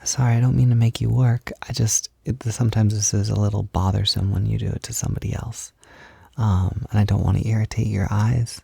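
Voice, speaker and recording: A man speaking softly and intimately in a roleplay, gentle and reassuring as if guiding someone through a task, at times close to a whisper. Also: lowish voice, level tone, a little fast, vocal fry, husky, no echo. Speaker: roleplay audio performer. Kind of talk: soft-spoken intimate roleplay, like a personal makeup tutorial.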